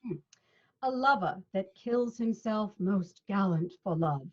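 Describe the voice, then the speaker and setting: A woman's voice: mid-range voice, expressive, takes it slowly, a little mellow. A woman playing a patient male leader of amateur players in a Shakespeare read, instructing expressively over a video call. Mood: businesslike. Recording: dull video-call audio.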